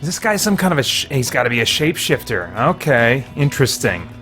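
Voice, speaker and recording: A man's voice: mid-range voice, sing-song, quick pace, bright, forceful tone, soft background music. A man reviewing a video game, giving casual, conversational commentary and thinking aloud as he plays. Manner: conversational, thinking aloud, mild slangy exclamations.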